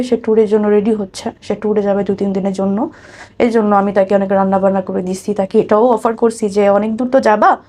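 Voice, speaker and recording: A woman's voice: mid-range voice, mellow. A woman with a Bangladeshi accent telling her own life story conversationally as an interview guest, acting out what people said.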